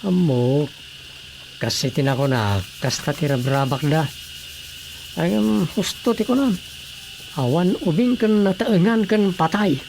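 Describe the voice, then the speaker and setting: A man's voice: on the high side, expressive, measured pace, pauses often, background noise. An actor with an Ilocano accent playing a matter-of-fact man explaining plans in a radio drama comedy.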